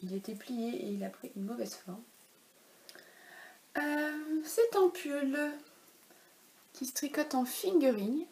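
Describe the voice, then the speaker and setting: A woman's voice: sits high, animated, slow, long pauses, mellow, slight background noise. Chatty woman with many hesitations. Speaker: knitwear designer presenting her work. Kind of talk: casual knitting podcast chat. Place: home, in daylight.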